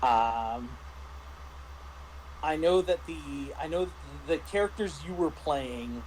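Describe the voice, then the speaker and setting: A man in a casual discussion as a game master, speaking haltingly and often restarting his phrases. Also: a little high, lively intonation, a little mellow, a little hiss or hum, poor audio. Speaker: game master reviewing a tabletop RPG.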